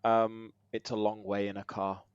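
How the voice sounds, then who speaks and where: A man with a slightly croaky voice, chatting in a relaxed, easygoing way as a podcast guest. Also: fairly low pitch, normal speed, no echo, muffled recording. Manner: relaxed, easygoing, frequent ums. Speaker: guest, technical SEO lead. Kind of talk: casual interview chat.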